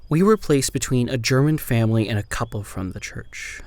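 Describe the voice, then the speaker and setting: A man playing an amnesiac old-time detective, narrating a grim first-person account in a horror audio drama in a measured, solemn way. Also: mid-range voice, animated, a little mellow, no echo, close to an everyday voice. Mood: guarded. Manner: measured, solemn, literary delivery. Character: amnesiac former Pinkerton detective writing a grim final account.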